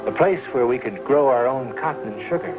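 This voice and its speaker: An actor playing a devout, affectionate and persuasive pioneer husband in a 1950s television western. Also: male voice, mid-range voice, expressive, brisk, natural voice. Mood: earnest. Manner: earnest, persuasive. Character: devout, earnest frontier husband and father, affectionate. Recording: muffled 1950s TV soundtrack, music behind.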